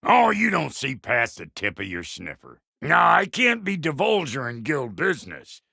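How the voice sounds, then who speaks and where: A cranky dwarf blacksmith from a video game, crude and folksy, with an American accent. His gravelly voice is forceful and swoops wildly up and down as he gripes and blusters. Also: a little high, huge pitch swings, measured pace, no room sound, studio quality, full character voice. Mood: grumpy. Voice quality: gravelly, rough. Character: gruff, foul-mouthed, cranky dwarf blacksmith. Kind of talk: video game character voice acting.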